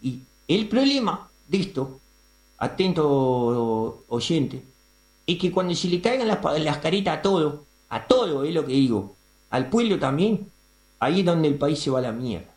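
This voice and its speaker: Man playing a pompous, high-voiced Argentine radio ranter. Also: big pitch swings, normal speed, a little mellow, reverberant. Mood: solemn tirade. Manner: pompous, indignant, foul-mouthed orator. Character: ranting, vulgar, self-important male radio editorialist. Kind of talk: comic radio theatre monologue.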